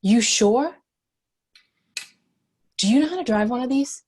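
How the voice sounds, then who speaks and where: A woman playing a determined, defiant and compassionate young heroine in a screenplay table read, with a slightly husky voice. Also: a little high, animated, a little slow, a little mellow. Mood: urgent.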